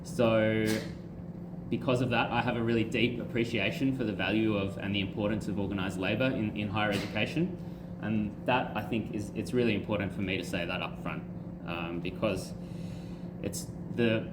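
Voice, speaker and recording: A man speaking at a campus rally, halting with many ums and restarts. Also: lowish voice, fairly even intonation. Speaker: rally speaker, aid worker. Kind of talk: public speech at a campus rally. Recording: echoey outdoor rally with noise.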